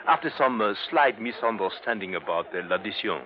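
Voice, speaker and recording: French hotel manager, male actor: low-pitched, very animated, evasive, hesitant broken English, muffled old radio sound. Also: fairly quick, natural voice. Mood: evasive, obliging. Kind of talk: 1950s radio drama acting. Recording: muffled old radio broadcast, crowd murmur.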